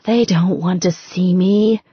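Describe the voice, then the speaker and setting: A woman's voice: on the low side, slow, husky, no room sound, exaggerated voice. Woman acting volatile self-pitying pop star, muffled recording. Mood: bitter, agitated. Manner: bitter, sarcastic, profane, exclaiming.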